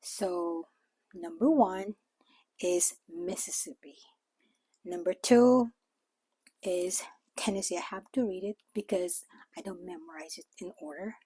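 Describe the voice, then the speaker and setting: An older woman with a Filipino accent, native in Tagalog, speaks slowly in an informal vlog style. Her voice is mellow and somewhat husky. She explains things in a halting way, recorded close and dry. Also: lowish voice, no room sound, rough recording. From Philippines. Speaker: vlogger. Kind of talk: informal talk to camera.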